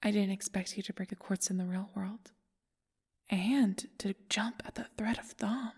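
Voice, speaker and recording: A woman's voice: mid-range voice, steady tone, moderate pace, a little mellow, slightly rough. Woman acting a knowing, whispering ally. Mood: wry, encouraging. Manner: whispered, teasing, encouraging. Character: calm, knowing female ally coaxing someone onward. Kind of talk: ASMR audio roleplay.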